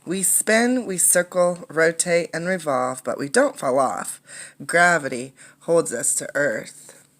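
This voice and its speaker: A woman reading a picture book aloud as a teacher, in an instructive way, pausing to explain and ask questions. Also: low voice, a bit bright.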